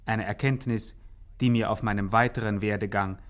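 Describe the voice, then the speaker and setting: A man's voice: on the low side, fairly even intonation, normal speed. A man narrating a 1950s radio serial in a formal, precise, mock-serious way.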